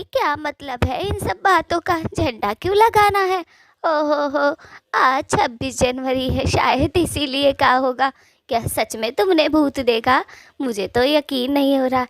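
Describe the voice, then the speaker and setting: A woman's voice: high-pitched, a little slow, no echo, pitched above the performer's own voice. A woman voicing a doubtful old village wife in a cartoon story, questioning and nagging. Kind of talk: Hindi cartoon story voice acting.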